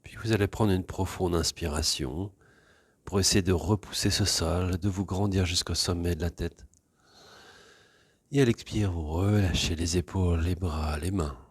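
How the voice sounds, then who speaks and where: A man teaches yoga in a low, slightly breathy and husky voice. He guides posture and breath gently and without hurry. The sound is close and dry. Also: dry recording. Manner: calm, unhurried, gently instructing. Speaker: yoga teacher leading a class.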